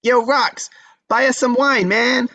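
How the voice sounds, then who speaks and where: Man putting on a high-pitched, cheeky street kid. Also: slow, sharp tone, dull audio, heavily acted. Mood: wheedling. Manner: slangy wheedling. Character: cheeky, pushy teenage street boy. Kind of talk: screenplay table read acting.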